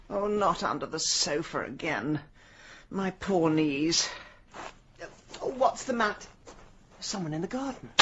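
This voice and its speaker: An actress playing a sharp-tongued, determined middle-aged London woman in a radio drama, brisk and sardonic. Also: mid-range voice, lo-fi sound, background noise. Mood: alarmed. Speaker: actor in lead role.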